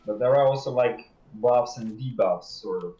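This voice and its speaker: A man speaking as a guest game developer, explaining things and often halting to search for words. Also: mid-range voice, dark tone. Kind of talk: explanatory livestream discussion. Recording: dull, roomy sound with faint music.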